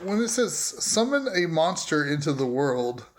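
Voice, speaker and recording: A man's voice: on the high side, very animated, measured pace. A man playing a tabletop role-playing game, talking casually and slipping into character lines. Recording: roomy, echoing sound with background noise.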